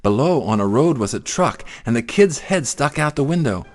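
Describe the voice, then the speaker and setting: A man narrating a children's story in an expressive, theatrical way. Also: mid-range voice, moderate pace, soft background music. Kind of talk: dramatic children's story reading.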